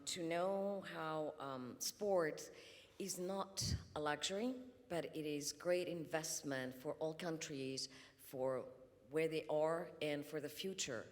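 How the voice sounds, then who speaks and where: A woman from Greece speaks as a panelist at a conference, in a measured way with frequent ums and uhs. Her voice sits low. Long reverb from a large hall trails each phrase.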